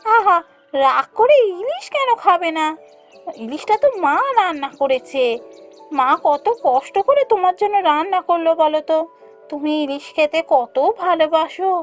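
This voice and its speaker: Woman acting as young village wife, cartoon peacemaker, sweet pleading manner, very high voice, soft music behind. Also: animated, moderate pace. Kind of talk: cartoon fairy tale dialogue.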